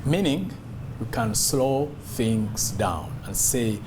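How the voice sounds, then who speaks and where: A man giving an instructional talk as a public speaking coach, emphatic and deliberate, repeating key words. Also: on the low side, lively intonation, noisy background. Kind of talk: instructional talk to camera on voice modulation.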